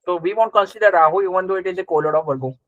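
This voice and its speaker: A man's voice: high-pitched, very fast, a bit bright, no echo, muffled recording. A man teaching a live interactive class, explaining conversationally and checking understanding with short questions. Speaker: astrology teacher.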